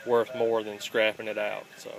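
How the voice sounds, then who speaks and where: A man giving a casual, unscripted hands-on how-to in a plainspoken, halting way, recorded on a handheld phone. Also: fairly low pitch, completely flat delivery, vocal fry, rough recording. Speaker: host of a scrapping how-to channel. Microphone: handheld phone, filming one-handed. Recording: home phone video with faint background noise.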